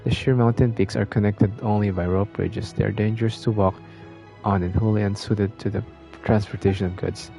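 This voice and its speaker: A male game streamer reads on-screen text plainly. His voice is fairly low and gravelly with a slightly mellow tone, and faint music plays behind him. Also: steady tone, fairly quick. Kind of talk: reading game text aloud.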